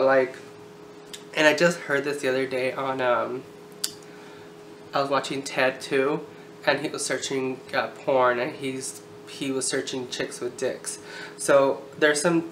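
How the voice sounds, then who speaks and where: A woman's voice: extremely low pitch, a bit bright. Woman vlogger in a roomy, echoey space. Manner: candid, informal, sweary. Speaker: video blogger. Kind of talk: casual chat to camera. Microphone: camera on a tripod. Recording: roomy echo, background noise.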